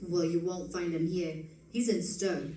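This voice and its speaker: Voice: medium pitch, steady tone, a little slow, mellow, a little hiss or hum, natural voice. A performer playing slangy characters in a hardboiled old-time radio crime drama, with emphatic, theatrical delivery. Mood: gruff, dismissive. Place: live stage performance, mic at some distance. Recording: roomy stage, distant from mic.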